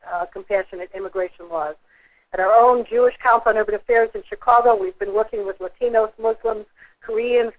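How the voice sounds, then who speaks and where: An American woman giving a prepared speech as an organization leader over a telephone conference line, with a halting, hesitant delivery. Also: medium pitch, rough recording.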